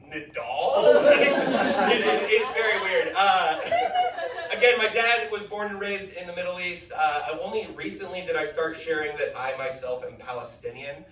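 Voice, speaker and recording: A man's voice: high-pitched, unhurried, crowd noise. A young man performing a rambling, self-deprecating stand-up comedy set, full of fillers and restarts, in front of a live audience. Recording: live comedy club, roomy and distant.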